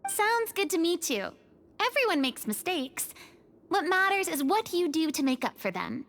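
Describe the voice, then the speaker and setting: A woman's voice: very high voice, big pitch swings, moderate pace, a bit bright, heavily acted. A woman playing a forgiving young female adventurer in a video game, easygoing and sincere. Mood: kind, sincere. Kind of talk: video game character acting. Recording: music playing behind the voice.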